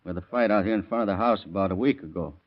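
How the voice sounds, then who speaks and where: Male actor as hot-tempered young father, low-pitched, blunt, defensive, excitable, vintage radio drama, dull lo-fi recording. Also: rapid-fire, lightly acted. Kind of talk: 1930s radio crime drama acting.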